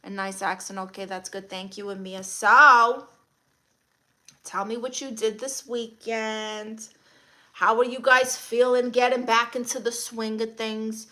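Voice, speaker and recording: A woman's voice: fairly high pitch, fairly even intonation, unhurried, bright, forceful tone. A woman with a Brooklyn accent hosting a casual livestream, greeting viewers and answering comments in a playfully sassy, blunt way. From Brooklyn, New York, USA. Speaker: livestream host.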